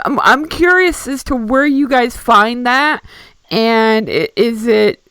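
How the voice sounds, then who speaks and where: A woman co-hosting a radio interview, asking questions in a halting, rambling way and often repeating words. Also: medium pitch, fairly bright tone, dry recording. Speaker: radio co-host. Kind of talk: casual interview chat.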